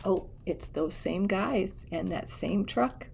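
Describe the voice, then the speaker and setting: A woman reads a children's story aloud. Her voice sits at a middle pitch. She tells it expressively and slips in casual asides of her own. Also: takes it slowly, vocal fry, a little mellow, a little hiss or hum. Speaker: storybook reader.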